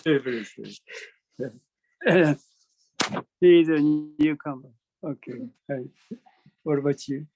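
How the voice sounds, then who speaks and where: A man with a Japanese accent giving an informal spiritual talk in English as a Zen master, halting, repeating his phrases and chuckling often. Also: a little high, dark tone, rough recording. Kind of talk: informal spiritual talk over online meeting. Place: online video meeting.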